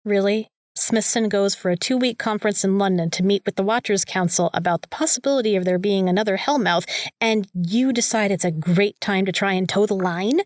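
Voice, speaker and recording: A sarcastic, opinionated young female student played by a woman in an audio drama. She speaks emphatically and bossily at a middling pitch. The sound is close and dry, with no room around it. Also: fairly quick, no echo, lightly acted. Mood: scolding, sarcastic. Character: bossy, sarcastic young female student, vampire slayer's sidekick.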